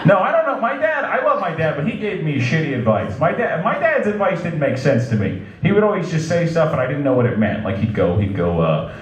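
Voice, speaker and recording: Wry thirty-something stand-up comic. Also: male voice, fairly high pitch, very animated, brisk, a little hiss or hum. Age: 30s. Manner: self-deprecating, loose, laughs at own jokes. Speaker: stand-up comedian. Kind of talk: stand-up set. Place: comedy club stage. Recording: echoey club with loud crowd. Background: laughing crowd.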